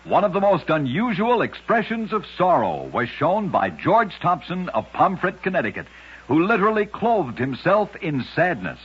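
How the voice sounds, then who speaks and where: A man narrating strange true stories in a scripted old-time radio style, with a dramatic, measured delivery. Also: high voice, big pitch swings, slightly rough. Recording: dull, lo-fi old radio recording.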